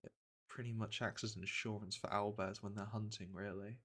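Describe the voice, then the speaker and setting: A man playing a gentle veteran monster hunter in a fantasy ASMR roleplay, speaking softly and reassuringly, with a hesitant, matter-of-fact manner. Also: fairly low pitch, flat delivery, brisk. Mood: explaining, sober. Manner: soft, hesitant, soothing delivery.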